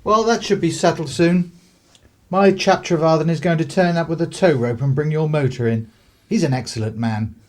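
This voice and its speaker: A man's voice: on the high side, moderate pace, natural voice. An actor playing a courteous, old-fashioned 1930s English clergyman, a hospitable country host in a radio play. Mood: genial.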